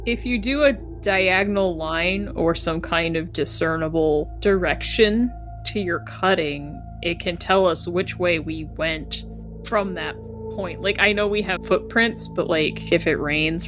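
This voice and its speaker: A woman's voice: lowish voice, measured pace, over music. A woman playing a tabletop game, chatting casually and explaining her ideas as she thinks aloud. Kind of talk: casual group game chat.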